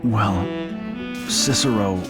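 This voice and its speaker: A stoic veteran fighter with a very low voice, the hero of a video game. He talks in a calm, resolute, terse way. The music behind him is loud. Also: slow. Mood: reassuring, resolute. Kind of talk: English video game cutscene acting.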